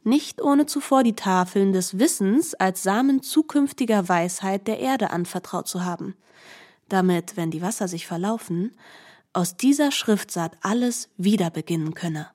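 A woman reading literary narration for radio, measured and articulate. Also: mid-range voice, darkish tone.

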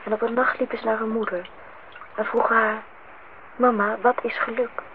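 An actress playing a gentle, dreamy, candid woman in a Dutch radio drama, speaking in a lyrical, earnest way. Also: a little high, flat delivery, normal speed, close to an everyday voice. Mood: tender, earnest. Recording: tinny, band-limited, noisy old radio recording.

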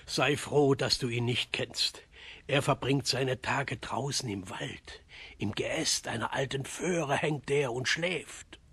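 A man's voice: mid-range voice, takes it slowly, creaky, a bit bright, slightly rough, no echo, exaggerated voice. An actor playing a distraught village father in a children's radio play, telling things in a plaintive, dramatic way. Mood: grim, warning.

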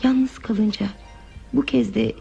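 An actress playing a lonely, withdrawn woman in a radio play, confiding her sad past in a hesitant, soft, reflective way. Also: fairly high pitch, steady tone, slow, gravelly, husky, heavily acted. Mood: melancholy, confiding. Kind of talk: Turkish radio theatre acting. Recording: muffled lo-fi radio drama with music.

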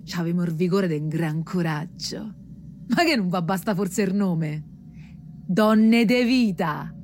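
A woman's voice: fairly low pitch, takes it slowly, darkish tone, slight background noise. A woman playing a proud, tough, world-weary prostitute with a Roman accent, earthy and defiant in radio theatre.

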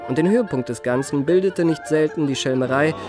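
A man's voice: fairly high pitch, fairly quick, music in the background, close to an everyday voice. An actor playing a genteel, self-admiring young charmer, narrating his youth in polished, mannered literary style for a radio play. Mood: amused relish.

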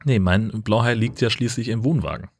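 Deep, husky man, wry podcast co-host. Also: fairly quick, a little mellow. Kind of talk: casual podcast chat.

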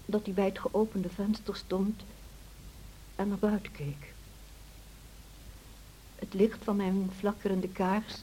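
An actress playing a loyal, anxious housekeeper who recounts past events in an earnest, hesitant way for a radio drama. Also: female voice, medium pitch, mellow, a little hiss or hum, natural voice, poor audio. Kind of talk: radio drama narration, storytelling to a listener.

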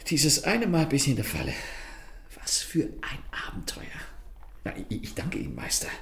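A man acting a suave, theatrical gentleman thief in a German radio drama, mockingly polite and amused even when cornered, with breathy asides. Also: medium pitch, moderate pace, whispered, heavily acted. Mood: exhilarated.

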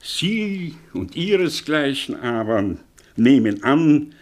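An actor playing a cynical, eloquent middle-aged professor in a mid-century radio play, with a theatrical, sardonic delivery. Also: male voice, mid-range voice, big pitch swings, takes it slowly. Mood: sardonic, declamatory.

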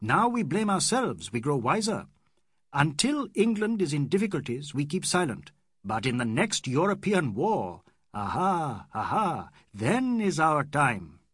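A fiery young Indian man bursts out in high, impassioned shouts, his voice leaping wildly up and down, as a male audiobook reader puts him on. The dry, close sound keeps every outburst right at the ear. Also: very animated, slow, few pauses, slightly rough, dry recording, exaggerated voice. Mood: heated.

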